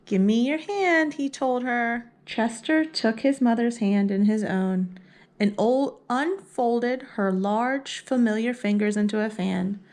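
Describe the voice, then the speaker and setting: A woman reading a picture book aloud for young children in a deliberate storytelling manner. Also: on the high side, darkish tone. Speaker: read-aloud host.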